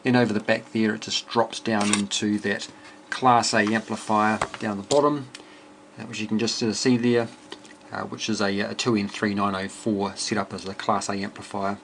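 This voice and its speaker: Man, lowish voice, informal hobbyist walkthrough, hesitant and halting, some background noise. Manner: hesitant, halting, thinking aloud. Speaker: amateur radio builder presenting a project. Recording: clear steady background noise.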